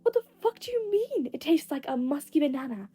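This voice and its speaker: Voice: sits high, sing-song, a little fast, warm tone, soft background music, pitched above the performer's own voice. A woman voicing a brash, easily scandalized teenage girl with a blunt, exclamatory manner in an audio story. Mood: incredulous.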